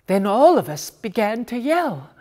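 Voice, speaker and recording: A woman reading a short story as theatrical narration, dramatic, with emphatic pauses. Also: on the high side, sing-song, mellow. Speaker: reader of short stories.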